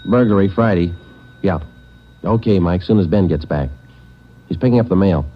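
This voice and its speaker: An American actor playing a laconic police detective sergeant in a 1940s radio drama, speaking in clipped, matter-of-fact phrases. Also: male voice, low voice, normal speed, natural voice. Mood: businesslike. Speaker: narrator and lead actor. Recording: dull, noisy 1940s radio recording.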